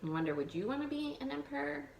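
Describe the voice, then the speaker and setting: A woman teacher reading a picture book aloud to young children, expressive, thinking aloud and putting questions to her listeners. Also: high voice, fast, gravelly, slight background noise. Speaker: elementary school teacher.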